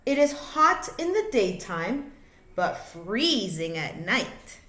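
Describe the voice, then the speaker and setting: A woman reading a children's picture book aloud like a teacher, in an expressive, sing-song way with drawn-out words. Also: medium pitch, slight background noise. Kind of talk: read-aloud children's storytelling. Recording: echoey room.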